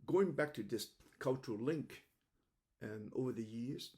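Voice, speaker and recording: An older man from Macau, speaking English as an in a halting, reflective monologue, often repeating words, recorded on a webcam at home. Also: mid-range voice, a little mellow. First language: Cantonese. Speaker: host. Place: home room indoors.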